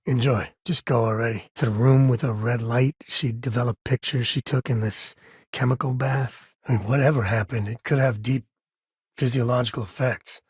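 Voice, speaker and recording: An actor playing a wry, laid-back former ranger and space explorer in a video game, a husky man talking in a folksy, conversational way. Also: medium pitch, steady tone, normal speed, no room sound, studio quality. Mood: wry, casual. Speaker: video game companion character. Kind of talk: video game voice acting, casual dialogue.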